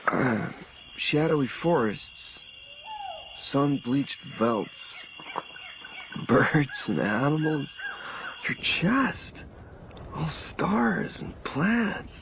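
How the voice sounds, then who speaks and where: A man's voice: fairly high pitch, very animated, very slow, creaky, long pauses, noisy background, heavily acted. An actor playing a wary but curious young man in a radio drama, hesitant, swinging between doubt and wonder. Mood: awed.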